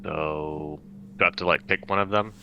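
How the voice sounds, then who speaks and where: A man's voice: sits low, moderate pace, faint music behind. A man playing a tabletop game, talking casually and hesitantly as he feels his way through each sentence. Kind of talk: casual chat. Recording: dull lo-fi sound, faint noise.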